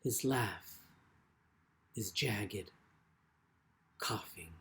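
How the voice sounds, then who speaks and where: A man giving a literary reading as a poet, with deliberate, measured phrasing and frequent pauses. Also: fairly low pitch, expressive, a bit bright, a little hiss or hum. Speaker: poet reading own work. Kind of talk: literary poetry reading with brief spoken introductions.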